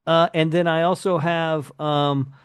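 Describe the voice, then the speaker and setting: Male podcast co-host, higher-than-average pitch, blunt casual chat, dry sound. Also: steady tone, a little slow, smooth, dry recording.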